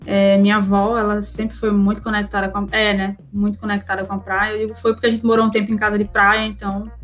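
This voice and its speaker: A woman's voice: medium pitch, completely flat delivery, fast, faint music behind. A woman with a Northeastern Brazilian accent chatting casually as an interview guest, informal and full of tag questions. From Recife, northeastern Brazil. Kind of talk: casual chat.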